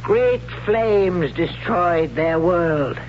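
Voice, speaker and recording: An actor playing a stern, zealous elderly high priest who speaks solemnly and commandingly, in a 1970s radio drama. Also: male voice, lively intonation, extremely slow, heavily acted. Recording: dull lo-fi old radio broadcast with hiss.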